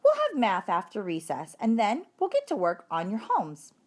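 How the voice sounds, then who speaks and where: An encouraging, orderly schoolteacher from a children's storybook, read by a woman instructs her class. Her voice has a middle pitch and moves with lively, varied intonation. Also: moderate pace. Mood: instructive. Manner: clear, instructive classroom address. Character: encouraging, orderly female primary school teacher.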